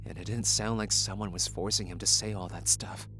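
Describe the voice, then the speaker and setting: A man's voice: deep voice, steady tone, a little fast, pushed and bright, soft background music. An actor playing a weary, skeptical young man in an audio drama. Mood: weary, doubtful.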